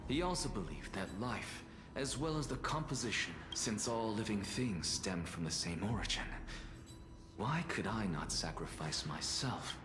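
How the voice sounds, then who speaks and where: An arrogant, defiant male scholar played by a man in a video game. He speaks with measured theatrical haughtiness and animated intonation, ringing in a long reverb over music. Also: fairly low pitch, lightly acted. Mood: reflective. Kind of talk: dramatic video game voice acting. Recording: echoey reverberant hall, music behind.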